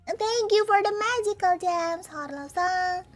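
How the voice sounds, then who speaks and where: A woman's voice: very high-pitched, a little slow, faint music behind. A young woman with an Indonesian accent, a native Indonesian speaker, chatting casually and giggling often on a live stream as she reads out her viewers' messages.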